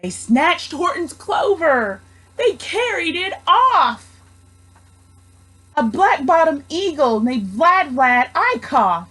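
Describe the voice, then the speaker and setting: A woman reading a children's storybook aloud with expressive, rhythmic narration. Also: high voice, slow. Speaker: storybook reader, student teacher.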